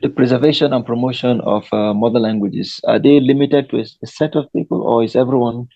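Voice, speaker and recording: A Nigerian man hosts a panel over an online call, and his voice comes through gravelly and husky. He speaks haltingly, with plenty of filler sounds. The sound is dull and lo-fi, close and dry. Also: medium pitch, steady tone, mellow, dry recording. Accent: Nigerian English. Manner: hesitant, many fillers. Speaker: host. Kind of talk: moderated panel discussion.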